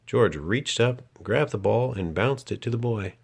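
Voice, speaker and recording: Man, low voice, read-aloud storybook narration, children's book host. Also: lively intonation, a little slow, vocal fry, a little hiss or hum.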